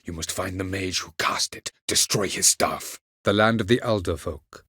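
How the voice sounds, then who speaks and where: A cold, haughty elven mage from a fantasy game. His voice sits low and is recorded close and dry. He speaks in measured, formal, archaic phrases with a condescending edge. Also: pushed and bright, slightly rough, no room sound, full character voice. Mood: urgent, commanding. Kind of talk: video game character dialogue.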